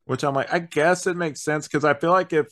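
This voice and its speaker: A man chatting casually as a podcast host, hedging and thinking out loud as he reviews. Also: fairly high pitch, fairly even intonation, brisk.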